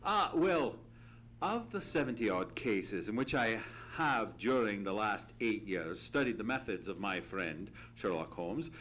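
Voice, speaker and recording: A man playing a genial, fussy English gentleman storyteller in a hearty, theatrical old-time radio drama style. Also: lowish voice, sing-song, measured pace, slight background noise. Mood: reflective storytelling. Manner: hearty, theatrical, repeats phrases. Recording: live theatre stage recording.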